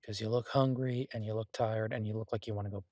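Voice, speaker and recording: A man playing a devoted, easygoing husband who cooks, talking in a casual, halting, reassuring way in an intimate audio roleplay. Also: on the low side, steady tone, darkish tone, no room sound, studio quality, close to an everyday voice. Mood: caring. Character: devoted, easygoing husband and cook, gently teasing. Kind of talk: intimate one-sided audio roleplay, conversational.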